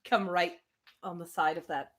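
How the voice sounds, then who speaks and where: A woman's voice: on the low side, steady tone, moderate pace. A woman hosting a casual live novel reading, with chatty asides. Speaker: livestream host reading a novel aloud.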